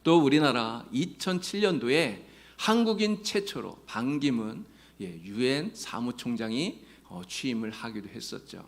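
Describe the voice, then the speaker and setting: A man's voice: mid-range voice, animated, unhurried, creaky. A male pastor preaching a sermon in a church, earnestly addressing his congregation and mixing in folksy jokes. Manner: tells folksy jokes between teaching. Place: church sanctuary.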